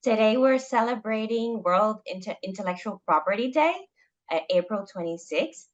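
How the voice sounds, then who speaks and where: A woman from the Republic, interviewing a guest and pausing often on "um". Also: mid-range voice, animated, lo-fi sound. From Dominican Republic. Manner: hesitant, many "um" pauses. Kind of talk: interview questions.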